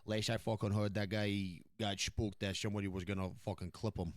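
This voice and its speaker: Man playing a foul-mouthed, low-voiced mob boss. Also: little pitch movement, brisk, dry recording, studio quality, close to an everyday voice. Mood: guarded. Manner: profane, emphatic, repeats himself. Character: foul-mouthed, gruff New York mafia boss. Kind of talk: improvised online crime roleplay.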